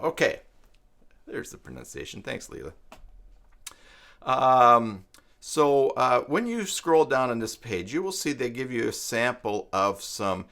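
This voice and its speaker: A man's voice: medium pitch, a bit bright. A man hosting an unscripted live tutorial, explaining technical steps as he thinks aloud and rephrases himself. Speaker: live stream host, web tutorial teacher.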